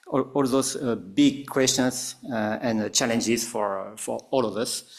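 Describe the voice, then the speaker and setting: A man with a French accent presenting at a conference panel, halting often with fillers and restarts. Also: mid-range voice. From France. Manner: hesitant, many fillers and restarts.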